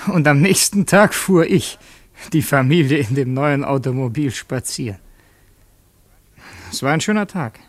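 A man's voice: on the high side, animated, a little slow, long pauses, no room sound. An actor playing an earnest, conflicted male revolutionary in a radio drama, confiding his thoughts in monologue. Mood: narrating. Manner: introspective, dropping into hushed confiding asides.

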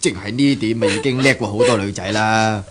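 A man's voice: on the low side, a little fast, close to an everyday voice. An actor playing an indulgent, worldly middle-aged father in a Cantonese radio drama, coaxing and chuckling, with a Hong Kong accent. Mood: reassuring, persuasive. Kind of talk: 1970s radio drama acting. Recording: noisy old 1970s radio recording.

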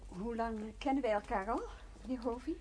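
An actress in a naturalistic radio play, playing a polite museum official who speaks formally and asks attentive questions. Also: female voice, on the high side, a little fast, darkish tone, slight background noise, close to an everyday voice. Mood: courteous, attentive. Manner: courteous, formal, politely inquisitive. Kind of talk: naturalistic radio drama acting.

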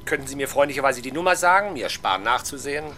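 Weary, sardonic police inspector with lively intonation. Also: male voice, mid-range voice, rapid-fire. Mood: dryly ironic. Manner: grumbling, dryly ironic. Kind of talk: 1970s German radio crime drama acting. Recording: faint background noise.

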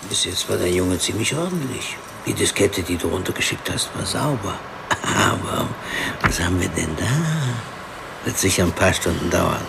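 Male for radio thriller lab technician: deep, easygoing, teasing, with clear background noise. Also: animated, normal speed, heavily acted. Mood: relaxed. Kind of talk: German radio crime drama acting.

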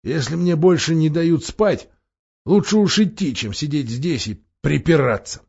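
A man's voice: on the high side, animated, a little slow, creaky, darkish tone, breathy edge, dull audio, exaggerated voice. A man voicing a grumbling, sceptical but loyal male dwarf in an audiobook, blunt and emphatic. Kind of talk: audiobook character voice.

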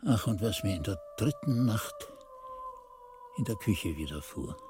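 An actor playing a reflective old monk who recalls his youth, musing and halting as if talking to himself, husky-voiced, in a radio drama. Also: fairly low pitch, sing-song, loud music behind the voice. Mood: troubled recollection. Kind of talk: 1980s German radio drama narration.

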